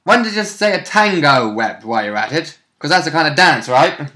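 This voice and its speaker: A man's voice: a little high, big pitch swings, fairly quick, sharp tone. A man co-hosting as a player, chatting casually about a tabletop role-play game. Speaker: player and co-host.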